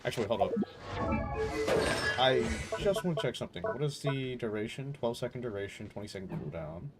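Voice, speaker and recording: Guy streaming a game, mid voice, rambly casual chatter to himself, music loud behind him. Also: medium pitch, lively intonation, a little fast. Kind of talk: casual gameplay commentary.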